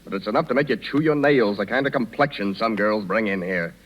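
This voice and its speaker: An actor playing an impatient, grumbling Hollywood casting director in a 1930s radio sketch. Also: male voice, fairly low pitch, fairly quick, close to an everyday voice. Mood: irritated. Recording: dull 1930s radio recording.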